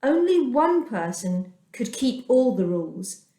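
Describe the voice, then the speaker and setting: Brief: woman storyteller, higher voice, expressive children's Bible reading, roomy echo. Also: unhurried.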